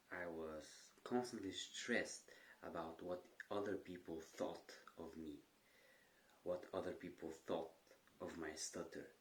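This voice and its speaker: A young man sharing advice in a calm, earnest manner, with occasional brief stutters. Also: low-pitched, poor audio. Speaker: stuttering coach. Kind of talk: talking-head advice vlog.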